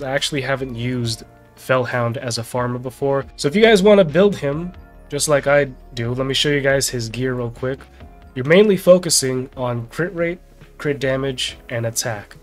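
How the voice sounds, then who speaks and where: A man in his thirties, slightly nasal as he gets over a sickness, giving a casual game walkthrough. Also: mid-range voice. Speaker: game guide video host. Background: background music.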